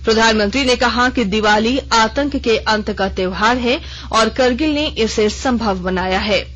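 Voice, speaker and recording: A woman reading a regional radio news bulletin in a measured, formal way. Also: a little high. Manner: measured, formal, even delivery. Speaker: radio newsreader. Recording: dull lo-fi radio broadcast.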